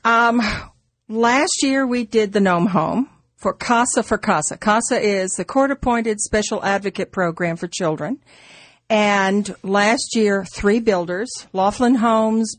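A woman's voice: medium pitch, no room sound. A woman co-hosting a radio show in casual, unscripted chat, with a teasing, interjecting manner. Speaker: radio co-host.

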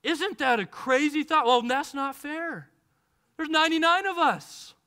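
A man in his thirties preaching a sermon on a large church stage, animated and acting out the voices of people in the text, heard through a headset mic. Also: extremely high pitch, measured pace. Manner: animated, gestures widely and acts out voices from the text. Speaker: pastor.

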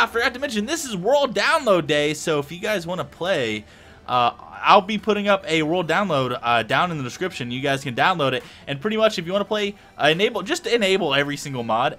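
A young man casually narrating his Minecraft play, rambling and thinking aloud with lots of fillers, his voice a little hoarse. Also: on the high side, pushed and bright. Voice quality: hoarse-sounding. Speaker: Minecraft let's play host. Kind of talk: casual gameplay commentary.

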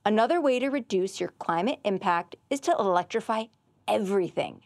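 A young woman, an assistant winemaker, giving clear and measured educational narration. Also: medium pitch, expressive, a little mellow, dry recording. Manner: clear, measured, informative delivery.

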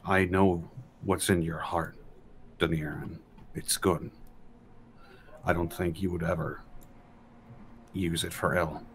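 Slow, low, even-voiced man role-playing. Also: gravelly. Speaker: player in a tabletop role-playing game. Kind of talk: in-character improvised dialogue. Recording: faint background noise.

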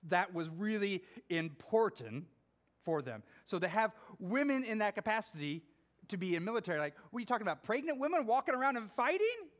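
A middle-aged man preaching a sermon as pastor in a small church, pacing while he reads scripture aloud and swings from emphatic to hushed. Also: sits high, big pitch swings, fairly quick. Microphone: far camera.

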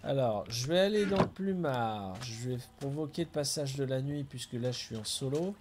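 A man's voice: medium pitch, lively intonation, moderate pace, very noisy. A middle-aged man chatting casually as he streams a building game, thinking aloud and chuckling often. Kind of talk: casual gameplay commentary.

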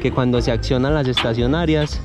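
Relaxed man, chatty moto vlogger. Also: mid-range voice, a little fast. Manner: relaxed, chatty, addresses viewers as "gente". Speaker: motovlog host. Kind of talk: casual how-to narration. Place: outdoor parking lot. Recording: music playing behind.

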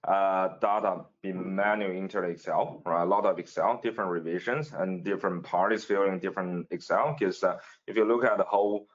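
A man's voice: deep voice, steady tone, brisk, hardly any pauses. A man on a panel video call, explaining things plainly, with slightly non-native phrasing. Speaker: panelist, facade general manager. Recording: dull lo-fi video call audio.

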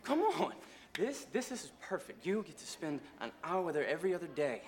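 A man's voice: fairly high pitch, big pitch swings, fairly quick. A young man playing an earnest teen soccer star who pushes his case eagerly. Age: young adult. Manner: eager, persuasive.

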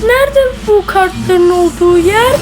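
Çizgi filmde saf, mızmız ve kolay korkan bir oğlanı seslendiren bir kadın oyuncu. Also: female voice, very high-pitched, measured pace, over music. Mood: naive, puzzled. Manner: plaintive, childlike. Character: naive, whiny, easily frightened boy. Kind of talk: cartoon dub acting.

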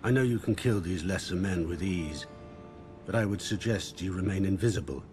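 Man acting old master assassin guy from a game, very low calm voice, patient and deliberate, music underneath. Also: close to an everyday voice. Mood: cautioning. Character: older male assassin master, patient, wise, cautioning mentor. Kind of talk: dramatic game cutscene dialogue.

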